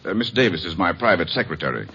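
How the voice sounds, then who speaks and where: Evasive middle-aged husband, swooping intonation, fussy formal delivery full of hesitation, vintage radio drama, dull sound. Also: male voice, deep voice, big pitch swings, fast, background noise. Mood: flustered, formal. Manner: hesitant, formal, fussily emphatic. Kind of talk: old-time American radio drama acting. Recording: muffled vintage radio recording.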